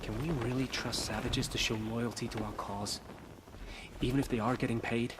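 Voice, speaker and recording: A man's voice: mid-range voice, fairly even intonation, moderate pace, noisy background, natural voice. A man playing a distrustful, disdainful townsman in a video game. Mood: scornful. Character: disdainful, distrustful male citizen of fantasy city. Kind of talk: video game background dialogue.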